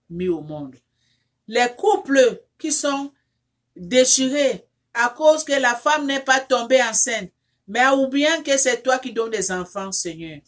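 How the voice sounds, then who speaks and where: A woman who is not a native French speaker, leading an informal prayer and appeal for her viewers, repetitive and fervent, with many restarts, who rises into insistent pleading as she prays. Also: high voice. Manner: rises into loud insistent pleading when praying. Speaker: online counselor leading prayer.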